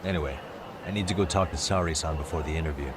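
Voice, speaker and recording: Low-voiced, cool-headed private detective. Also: male voice, quick pace, background noise. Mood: calm, earnest. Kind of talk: dubbed video game cutscene acting. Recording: music behind the voice.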